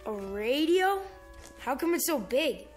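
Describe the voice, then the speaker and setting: A woman's voice: sits high, very animated, takes it slowly, soft background music, heavily acted. A woman playing a polite, doubtful young boy in a radio drama, plain-spoken and questioning. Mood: doubtful, curious.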